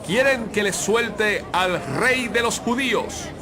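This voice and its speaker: A man's voice: sits high, takes it slowly. A man playing a commanding Roman governor who questions people theatrically in a dramatized audio Bible. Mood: incredulous, pressing. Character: Pilate, male Roman governor questioning an accused prisoner. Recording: noisy crowd ambience behind the voice.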